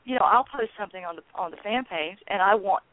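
A woman's voice: medium pitch, fast talker, dry recording. A woman guest on a radio interview, talking earnestly and chattily with bursts of enthusiasm, calling in by phone. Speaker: guest, founder of a peace network. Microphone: phone call. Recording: tinny dry phone line.

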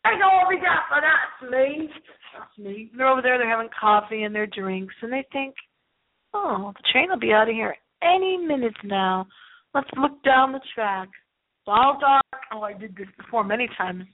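Middle aged lady radio host rambling dramatically about old horror movies, highish voice, sounds like a tinny phone. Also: lively intonation, moderate pace. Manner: theatrical, repeats phrases, drifts into asides. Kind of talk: dramatic, rambling late-night horror movie show monologue.

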